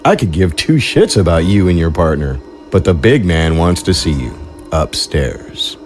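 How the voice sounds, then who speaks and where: A gruff enforcer in audio drama, deep voice, menacing and deadpan, faint music underneath. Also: male voice, measured pace. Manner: curt, deadpan. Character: gruff, contemptuous male henchman, curt and menacing.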